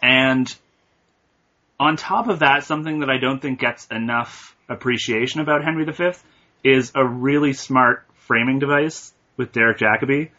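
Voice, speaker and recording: A man co-hosting a podcast, giving his view of a film in a thoughtful, halting casual chat. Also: medium pitch, bright, forceful tone. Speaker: podcast co-host. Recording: muffled lo-fi recording.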